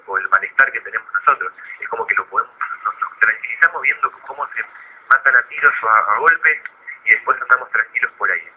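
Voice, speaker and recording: A man's voice: on the low side, slightly rough. Argentine man explaining on a tinny phone line. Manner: halting, didactic, with repeated words. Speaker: guest expert, psychologist. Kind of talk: radio interview, explaining. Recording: phone-like narrow radio line.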